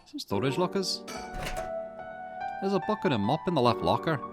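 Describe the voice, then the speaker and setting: A man's voice: a little high, sing-song, moderate pace, loud music behind the voice. A man voicing an anxious young woman with amnesia in a visual novel game, puzzling things out aloud. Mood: puzzled, wary. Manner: puzzled, thinking aloud while investigating.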